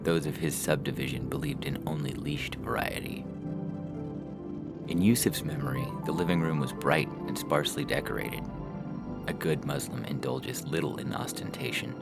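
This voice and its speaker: A man's voice: a bass voice, steady tone, moderate pace, vocal fry. A man reading scripted fiction as a story narrator. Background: music.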